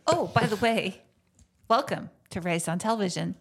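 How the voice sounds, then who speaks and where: A woman's voice: medium pitch, animated, normal speed, gravelly. A woman co-hosting a casual podcast chat, chatty and laughing often. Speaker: podcast co-host.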